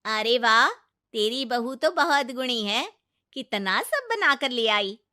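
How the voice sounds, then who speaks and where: A woman's voice: on the high side, animated, takes it slowly, pushed and bright, no room sound, studio quality, heavily acted. A woman voicing a chatty, admiring older woman with a gushing, emphatic manner in a story.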